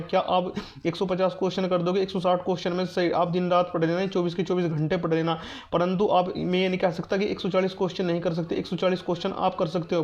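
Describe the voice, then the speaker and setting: A man's voice: high voice, a little mellow. A man giving an informal exam update to students, repeating himself to reassure and instruct them. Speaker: exam news and guidance YouTuber. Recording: dull, lo-fi muffled sound.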